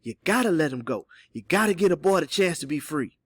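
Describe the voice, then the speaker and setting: A man's voice: on the high side, lively intonation, fairly quick, breathy edge, no echo, clean studio sound, pitched above the performer's own voice. A man voicing an old enslaved Southern man in an audiobook, sly and hopeful, giving urgent, folksy counsel. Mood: earnest. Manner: whispering Southern dialect speech, urgent and folksy.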